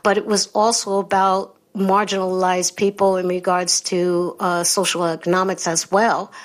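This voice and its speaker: A woman's voice: lowish voice, little pitch movement, normal speed. A woman guest speaking in a conversational, reflective way. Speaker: guest commentator.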